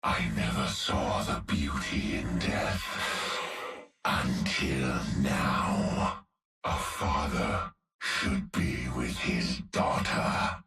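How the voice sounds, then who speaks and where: A man's voice: extremely low pitch, gravelly, a bit bright, full character voice. An actor playing a hulking, vengeful cyborg executioner man who gloats and threatens in game voice lines. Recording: echoey, distant, faint music behind.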